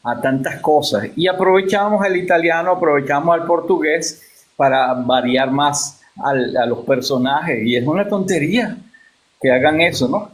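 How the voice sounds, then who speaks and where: A man on a live panel, an actor panelist, making quick emphatic remarks. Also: fairly high pitch, poor audio. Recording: faint background hiss.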